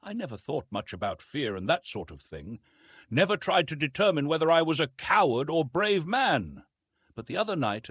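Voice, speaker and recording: A man's voice: medium pitch, fairly bright tone, breathy edge, dry recording, voice pushed up. A man voicing an earnest, haunted French naval officer in an audiobook, speaking in an intense, formal way. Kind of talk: dramatised audiobook reading.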